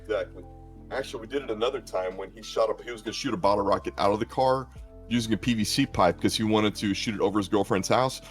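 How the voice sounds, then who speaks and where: A man with a lowish voice banters on a stream, telling stories about himself and poking fun at his own antics. He is an esports caster, and faint music plays under his talk. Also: quick pace. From South Arkansas, USA. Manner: storytelling, self-mocking, joking.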